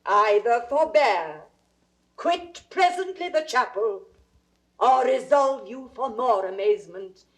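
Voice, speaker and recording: A woman's voice: a little high, lively intonation. A British woman acting a commanding, solemn noblewoman in classical Shakespearean audio drama, stately and incantatory. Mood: urgent warning. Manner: stately, incantatory, deliberate phrasing.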